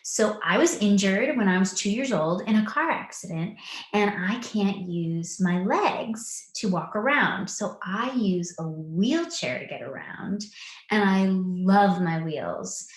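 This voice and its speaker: A woman's voice: medium pitch, lively intonation, slow. A woman explaining things simply for young children, recorded with roomy echo and faint noise. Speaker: guest answering children's questions.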